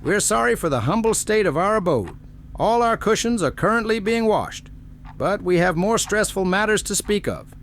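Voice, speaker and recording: Old gnome elder, animated delivery, creaky, quaint formal wording, fantasy audio story, some background noise. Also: male voice, high voice. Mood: gracious, earnest. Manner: deliberate, formal, quaint phrasing. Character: plump old gnome elder, kindly, ponderous, quirky.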